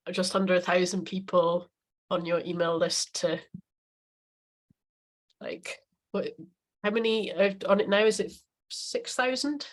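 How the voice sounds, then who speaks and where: A woman hosting a casual interview on a video call, reacting with short, enthusiastic remarks. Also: mid-range voice. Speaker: interview host.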